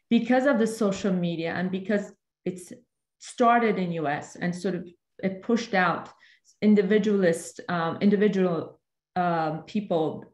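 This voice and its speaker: A woman originally from Iran asks a long, earnest question on a video call, with frequent fillers. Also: medium pitch. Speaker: seminar participant asking a question. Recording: echoey room on a video call.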